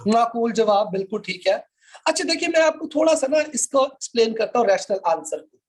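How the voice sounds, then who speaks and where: A man's voice: very high-pitched, animated, brisk. A man with a Pakistani accent teaching as a law lecturer, explaining interactively with questions and repetition.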